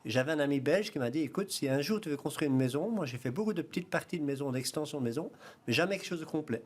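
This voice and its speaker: A man with a Belgian French accent, a guest in a studio interview, explaining his project in a relaxed way, often starting with "alors" and "ben". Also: a little high, fast talker. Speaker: guest, founder of a self-build training project.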